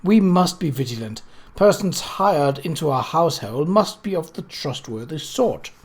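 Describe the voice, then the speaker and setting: A man's voice: a little high, lively intonation, moderate pace, husky. A man voicing a cross, pious middle-aged widow in a storybook, indignant and sanctimonious.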